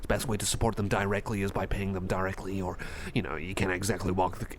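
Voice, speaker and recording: A man's voice: deep voice, flat delivery, fast, background noise, lightly acted. A man playing a jittery, socially anxious, over-caffeinated guy in an ASMR roleplay, rambling and stammering as he repeats himself. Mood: eager, rambling. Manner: rambling, stammering, repeats phrases, self-doubting. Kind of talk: ASMR roleplay monologue addressed to the listener.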